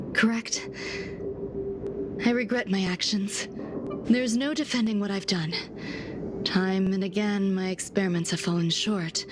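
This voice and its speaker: An actress voicing a cool, composed female genius scientist in a video game, speaking in a calm, measured way. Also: mid-range voice, noisy background, lightly acted. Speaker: game character.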